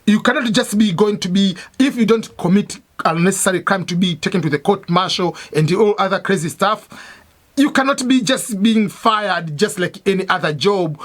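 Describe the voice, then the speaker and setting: A man with a fairly high voice talks through his points at an unhurried pace. He is a native Swahili speaker and a veteran who explains patiently, repeats himself and fills his pauses with "uh" and "I mean". It sounds like an informal advice talk. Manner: steady, repetitive explaining with many fillers and restarts. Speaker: military veteran giving advice.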